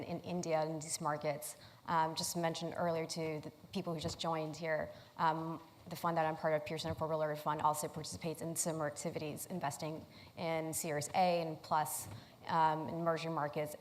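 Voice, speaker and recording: Low-voiced woman from Thailand, hall reverb. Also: slight background noise. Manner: friendly, hesitant with many ums. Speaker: panel moderator, investor. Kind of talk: panel discussion. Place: conference hall stage. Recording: long reverb of a conference hall.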